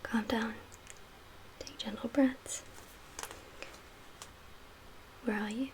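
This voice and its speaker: A woman playing a calm survivor camp leader in a soft-spoken ASMR roleplay, with a breathy, whispery voice and a gentle, measured, hushed manner. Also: a little high, lightly acted, poor audio. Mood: soothing. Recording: clear background ambient noise.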